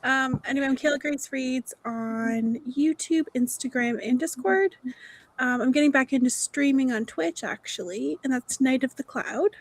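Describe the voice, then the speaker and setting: Woman, bubbly upbeat guest, high voice, dry close sound, video call. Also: normal speed, dry recording, poor audio. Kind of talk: casual self-introduction.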